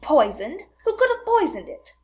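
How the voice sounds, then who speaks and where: A woman doing a brash boy hero, high and lively. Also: muffled recording. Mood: scoffing. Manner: bold, commanding, quick to exclaim.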